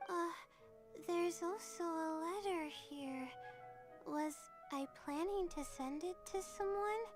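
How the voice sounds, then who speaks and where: An anxious, timid young student with a very high, girlish voice for an anime-style game. She talks slowly and haltingly, always sounding apologetic and unsure, over faint music. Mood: confused.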